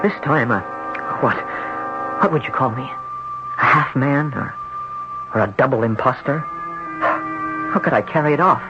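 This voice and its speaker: A man's voice: mid-range voice, animated, unhurried, pauses often, loud music behind the voice, natural voice. An actor playing a young man who wakes up in a rich stranger's body, talking in an anxious, bewildered way, and also narrating. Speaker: narrator and lead. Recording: dull old radio broadcast with faint hiss.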